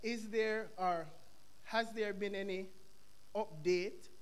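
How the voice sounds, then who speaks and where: A young man with a Jamaican accent asking a question from the floor of a lecture hall, telling his story in an animated way. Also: sits high, takes it slowly, clean tone. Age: young adult. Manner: animated storytelling, quoting others. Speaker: audience member asking a question. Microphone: stand microphone in audience.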